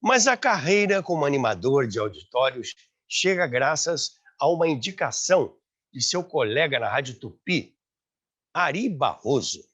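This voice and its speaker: A man's voice: mid-range voice, big pitch swings, moderate pace, fairly bright tone, breathy edge. A Brazilian man hosting and narrating a scripted documentary, with emphatic, dramatic phrasing. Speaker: host and narrator of a radio history show. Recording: slightly dull audio with background music.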